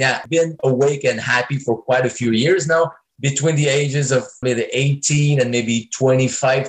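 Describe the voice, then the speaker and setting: A man in his forties with a French-speaking North American accent answers interview questions as a guest. His voice sits at a medium pitch. He rambles loosely and keeps reaching for "I mean" between thoughts. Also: low-quality recording. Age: 40s. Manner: rambling, leans on filler "I mean". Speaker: singer-songwriter guest.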